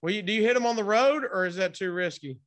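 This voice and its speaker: A man doing a casual, playful interview as the host, chatty and prompting with quick follow-ups. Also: high voice, a bit bright.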